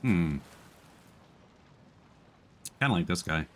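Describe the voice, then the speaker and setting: A man chatting casually as he live-streams a game, musing aloud in an unhurried way. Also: sits low, lively intonation, fairly bright tone, a little hiss or hum.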